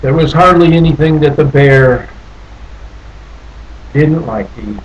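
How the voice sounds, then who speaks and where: A man reading a children's picture book aloud in a folksy, playful, drawn-out way with little asides. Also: on the high side, dull audio. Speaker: storybook reader.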